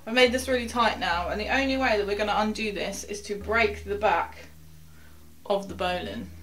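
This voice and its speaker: A woman's voice: medium pitch, moderate pace, a little hiss or hum, poor audio. Chatty young woman teaching knots. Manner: step-by-step, chatty, drops to quiet asides while working the rope. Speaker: sailing instructor. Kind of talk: knot-tying tutorial. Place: small wooden garden cabin, indoors.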